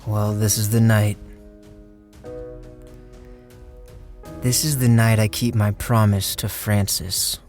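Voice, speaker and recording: A man acting a guilt-ridden, fatalistic plotter who tells his story in a radio drama, somber and deliberate. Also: fairly low pitch, little pitch movement, darkish tone, soft background music, lightly acted. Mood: foreboding. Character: Clyde, guilt-ridden, fatalistic man narrating a murder plot. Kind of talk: dramatic first-person radio drama narration.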